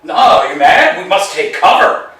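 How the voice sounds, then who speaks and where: A fussy, anxious tramp, played by a man, theatrical and full of questions, his voice slightly high and swinging widely in pitch. The room is strongly echoey and he sits well away from the mic. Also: very animated, normal speed, sharp tone, far from the mic, full character voice. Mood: agitated. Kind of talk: staged play reading.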